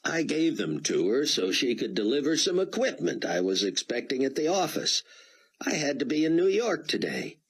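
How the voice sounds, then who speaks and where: A man voicing a tearful, apologetic young woman in an audiobook, speaking softly and in near-whispers. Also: medium pitch, very animated, moderate pace, slightly rough, voice pushed up. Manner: soft, whispery, teary. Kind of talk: audiobook character voice.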